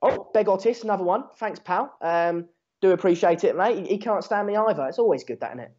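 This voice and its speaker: A man's voice: sits high, fairly quick, a little mellow. A seventeen-year-old English man, a native Dutch speaker, chatting casually and sarcastically on a football watchalong stream in slangy, matey, sweary language. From England. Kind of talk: casual live match commentary and chat with viewers. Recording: dull lo-fi stream mic.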